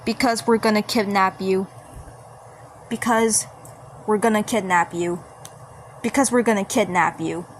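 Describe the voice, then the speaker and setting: A woman's voice: medium pitch, steady tone, a little slow, long pauses, lightly acted, low-quality recording. A woman voicing sweet yet menacing candy creatures in a horror cartoon fan dub. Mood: sweetly menacing. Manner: sweet, disturbing, repeating. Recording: faint background noise.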